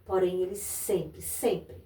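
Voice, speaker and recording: A woman from Brazil hosting a religious interview podcast, affirming her guest with frequent amens and gently preaching. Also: lowish voice, fairly even intonation, slow pace. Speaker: podcast host. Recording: echoey room, voice a bit far from mic, faint noise.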